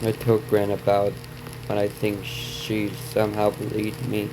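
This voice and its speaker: A man's voice: lowish voice, completely flat delivery, a little slow, over music, low-quality recording. A man reading a story aloud as a reaction video host, stumbling over and repeating words, with asides to viewers.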